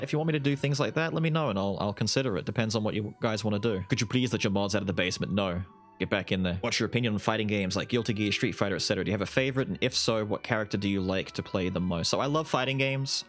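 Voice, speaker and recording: Low-voiced Australian guy chatting casually. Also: a bit bright. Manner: rambling, self-deprecating, quick asides. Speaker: YouTube gaming creator answering viewer questions. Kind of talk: casual Q&A chat. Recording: voice over faint background music.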